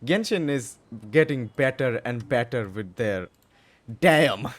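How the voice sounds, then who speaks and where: A man giving casual playthrough commentary, halting and rambling, with some mild swearing. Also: medium pitch, expressive. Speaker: gaming commentator. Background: game music.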